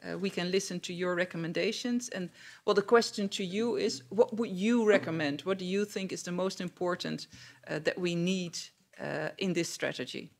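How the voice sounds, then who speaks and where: A woman from the Netherlands with a Dutch accent, moderating a public panel discussion in halting, hesitant phrases. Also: on the low side, creaky.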